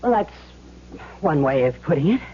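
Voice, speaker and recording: Animated, good-hearted tough woman, old radio drama. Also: low voice, normal speed, slightly rough, full character voice. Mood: guarded, businesslike. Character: tough, good-hearted young woman gambler defying a wicked ghost. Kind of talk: 1970s radio drama acting. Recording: muffled, hissy old radio recording with hum.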